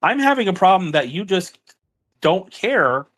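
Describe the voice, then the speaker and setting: Guy fighting on a phone call, kinda high voice, frustrated and accusing, keeps repeating himself. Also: big pitch swings, a little slow, a bit bright. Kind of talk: heated personal argument.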